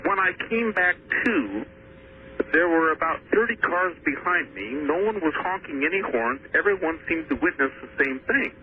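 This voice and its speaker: A fairly high-pitched man phones in to a talk show. He tells a personal account earnestly and haltingly. The line is tinny and narrow with slight noise. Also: sing-song. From Washington state, US. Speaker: phone-in caller. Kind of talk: personal account told on air. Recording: thin, noisy phone line to radio.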